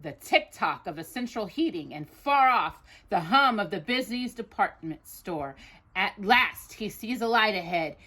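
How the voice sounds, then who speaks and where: A woman reading a children's picture book aloud as a storytime host, with expressive, deliberate delivery for a young child. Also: medium pitch.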